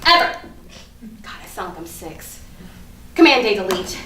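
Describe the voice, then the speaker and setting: A woman's voice: mid-range voice, very animated, moderate pace, fairly bright tone. A woman acting a petty, indignant young woman with a snarky, emphatic delivery in a comedic staged reading. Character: petty, indignant young woman stewing over former friend. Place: live staged reading in a room. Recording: roomy, distant mic, faint noise.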